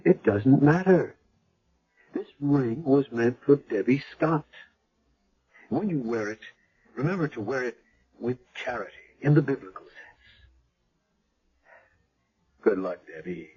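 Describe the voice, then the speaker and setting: An actor playing a solemn, kindly chaplain in a radio drama, speaking in a gentle, measured way. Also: male voice, medium pitch, animated, breathy edge. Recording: dull old radio broadcast with music.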